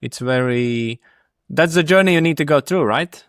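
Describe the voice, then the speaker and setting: A man's voice: on the high side, normal speed, a bit bright, no room sound, studio quality. A man hosting a casual interview, speaking informally and searching for his phrasing as he goes. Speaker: podcast host, interviewer.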